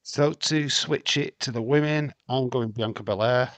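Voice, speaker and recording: A man's voice: medium pitch, normal speed, a bit bright, dry recording. A man co-hosting a casual live stream podcast, chatty and greeting viewers, losing his voice. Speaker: podcast co-host.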